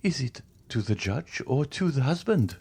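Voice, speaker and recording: A man reading an audiobook, voicing a terrified, guilty young wife who stammers and pleads in a high, strained voice. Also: sing-song, drawn-out words, slightly rough, exaggerated voice. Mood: pleading.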